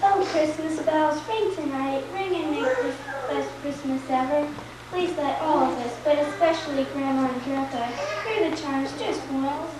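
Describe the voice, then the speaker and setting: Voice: sits high, moderate pace, close to an everyday voice. A performer playing an earnest, hopeful, devout young child in a puppet show. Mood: prayerful. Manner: earnest, wishful. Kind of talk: stage puppet show dialogue. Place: church, recorded from afar. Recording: very echoey, distant, loud-noise church recording.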